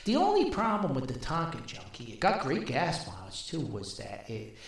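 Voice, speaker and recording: An older man telling a rambling, casual story as a podcast host, with a folksy, wry, halting manner. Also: mid-range voice, vocal fry. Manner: folksy, wry, animated, halting with long asides. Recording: very echoey, reverberant room.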